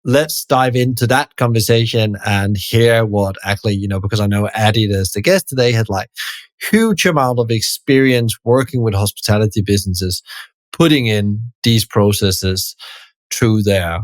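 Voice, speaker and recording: A man with a fairly low voice hosts an interview podcast. He speaks in halting, wordy phrases, often doubling back on his words. The sound is dry and close. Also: no echo. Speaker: podcast host.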